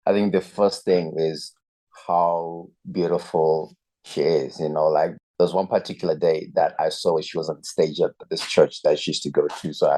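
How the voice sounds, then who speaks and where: A man's voice: deep voice, mellow, low-quality recording. A man, a youth pastor telling his story earnestly and reflectively as a podcast guest. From Zimbabwe. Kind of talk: podcast interview, personal story.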